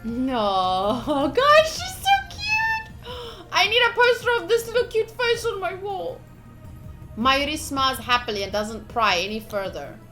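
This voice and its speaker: A woman livestreaming a visual novel playthrough, reading the text aloud with excitable, playfully dramatic and casual commentary. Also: very high voice, measured pace, faint music behind. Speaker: streamer playing a visual novel.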